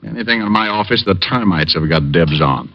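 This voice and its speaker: An actor playing a wisecracking private detective who narrates and trades dry, sardonic gags, in 1950s radio drama style. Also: male voice, sits low, expressive, a little fast. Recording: lo-fi old radio broadcast with faint music.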